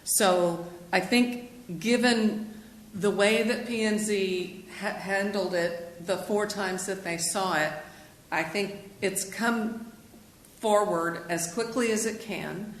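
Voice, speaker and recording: A woman's voice: medium pitch, takes it slowly. A woman on city staff explaining procedure firmly at a council meeting. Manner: firm, explanatory, says she has a loud voice. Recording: echoey meeting room.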